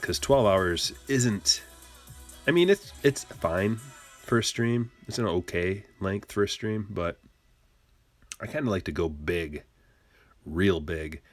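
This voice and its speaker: Low-voiced joking man on a livestream. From Michigan. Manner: playful, joking, rambling banter with chat. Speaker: card-break streamer. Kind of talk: casual livestream chat while opening cards.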